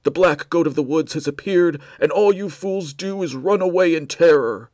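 A man voicing a fanatical male cultist who preaches in shouting fury. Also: on the high side, normal speed, no echo, studio quality, voice pushed up, natural voice. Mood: furious.

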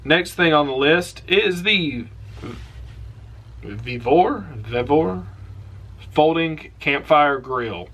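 A young man hosting an outdoors channel, recommending camping gear in a relaxed, rambling, enthusiastic way from a small room. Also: on the high side, extremely slow, pauses often, breathy edge. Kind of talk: casual product recommendation vlog.

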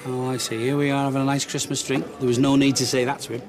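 A man's voice: mid-range voice, flat delivery, quick pace, close to an everyday voice. An actor playing a sardonic father in a television soap drama, being dryly pointed at the pub. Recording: TV drama with pub crowd and music.

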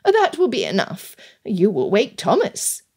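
A woman voicing a fussy, indignant middle-aged Regency gentlewoman who scolds and sniffs, in an audiobook story. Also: on the high side, sing-song, moderate pace, slightly rough, exaggerated voice. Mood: scolding, hushing.

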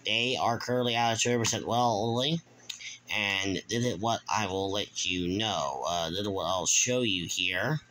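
Halting man, bright forceful voice. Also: lowish voice, slight background noise, rough recording. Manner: halting, repetitive. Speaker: video host. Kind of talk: casual show-and-tell narration. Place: room at home at night.

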